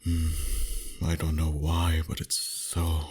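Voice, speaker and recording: A man playing a seductive, dominant vampire boss in an intimate ASMR roleplay, breathy and husky, often whispering, with a slow teasing delivery. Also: extremely low pitch, monotone, darkish tone, a little hiss or hum. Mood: admiring, tender.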